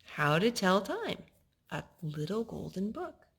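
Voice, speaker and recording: A woman with a husky voice that often dips into creak reads a picture book aloud for young children. She explains things in an instructive way, as a storytime host talking to a little child. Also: medium pitch, very animated, slow pace.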